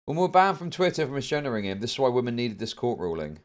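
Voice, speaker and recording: A middle-aged British man talks casually to his livestream audience. His voice sits at an ordinary male pitch, and he tells stories in a loose, rambling, animated way with plenty of swearing. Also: fast talker. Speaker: livestream host.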